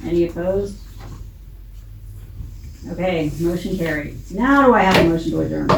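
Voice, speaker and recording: A woman's voice: lowish voice, normal speed. A plain-spoken American woman chairing a council meeting as its president, using informal parliamentary procedure. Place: indoor council chamber. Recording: echoey meeting room with clear background noise.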